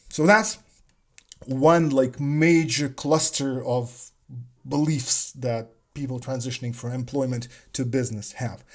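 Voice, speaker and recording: A man's voice: on the high side, a little mellow. A man, talking alone about the business mindset in a reflective way and often repeating words as he searches for phrasing. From Soviet Union (Leningrad). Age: 40s. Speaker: business coach. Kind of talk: solo talk on business mindset.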